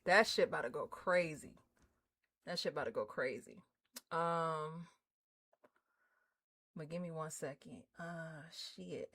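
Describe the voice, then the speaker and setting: A woman livestreamer chatting casually with her viewers in an excitable, slangy, informal way. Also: deep voice, slow pace, long pauses. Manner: excitable, hyped, effusive; slangy, informal, drops swearing in. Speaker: livestreamer hosting a game stream.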